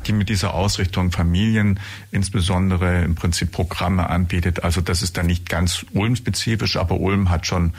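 A man's voice: low voice, steady tone, normal speed, slightly rough. A man conducting a conversational interview, who rambles and often searches for words with fillers. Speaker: radio interviewer.

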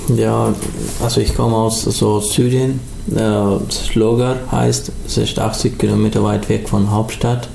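Halting young man. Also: fairly low pitch, little pitch movement, creaky, darkish tone, echoey room, slight background noise, rough recording. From Afghanistan. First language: not German. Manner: halting, many restarts and fillers. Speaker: studio guest. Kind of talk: radio interview.

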